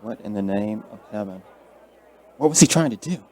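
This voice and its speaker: An actor playing a steady, folksy, plain-spoken small-town sheriff in a radio drama. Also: male voice, fairly low pitch, normal speed, some chatter behind. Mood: pondering, authoritative.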